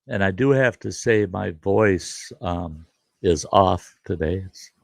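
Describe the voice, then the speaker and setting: An older man hosting a casual live stream, hoarse today, talking in a halting, rambling way with many fillers. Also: low voice, dry recording. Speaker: livestream host.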